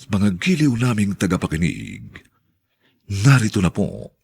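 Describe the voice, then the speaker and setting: A man's voice: fairly low pitch, creaky, slightly rough. A man narrating as a radio drama announcer in a grand, solemn, theatrical style.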